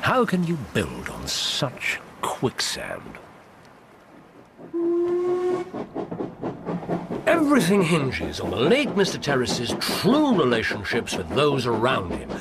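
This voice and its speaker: An actor playing a shrewd, sceptical detective in a British radio drama, with an English accent and an incisive, musing manner. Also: male voice, medium pitch, huge pitch swings, measured pace, few pauses, background noise. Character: brisk, shrewd, sceptical male detective, coolly analytical.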